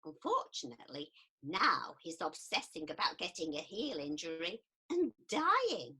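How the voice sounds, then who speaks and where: A woman's voice: on the low side, big pitch swings, unhurried, bright, forceful tone, slightly rough, exaggerated voice. A woman playing an earnest, eager and caring new counsellor in a comedic radio play, with a prim manner. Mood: earnest, concerned.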